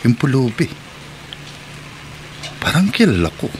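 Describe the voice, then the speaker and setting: A man playing an excitable, loyal middle-aged friend in Filipino radio drama, urgent and repetitive as he speaks. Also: mid-range voice, measured pace. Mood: musing, then shocked. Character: excitable, loyal middle-aged male buddy bearing news. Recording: radio drama with background noise.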